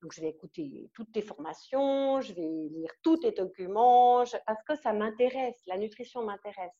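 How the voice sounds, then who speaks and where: A woman from Switzerland with a Swiss French accent, giving an enthusiastic, halting testimonial full of fillers and restarts. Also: a little high, warm tone, dull audio. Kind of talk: casual testimonial interview.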